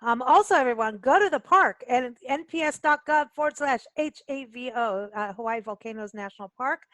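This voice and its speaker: High-voiced chatty woman radio host. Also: animated, brisk, fairly bright tone, no echo. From Kenya. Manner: chatty, informal, joking. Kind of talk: casual conversational interview.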